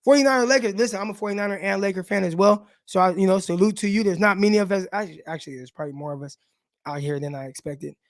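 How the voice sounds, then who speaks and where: A man with a raspy voice hosting a casual live sports show, talking loosely and with slang straight to his viewers. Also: high-pitched, very fast, dry recording, studio quality. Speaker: sports podcast host.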